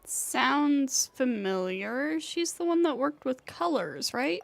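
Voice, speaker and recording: A woman's voice: high-pitched, lively intonation, takes it slowly, vocal fry. A woman game streamer reading dialogue aloud during a live stream. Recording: faint game music behind.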